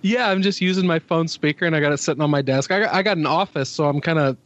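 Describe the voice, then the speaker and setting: A man joining a podcast chat and talking in a relaxed, rambling way. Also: on the high side, quick pace, dry recording, lo-fi sound.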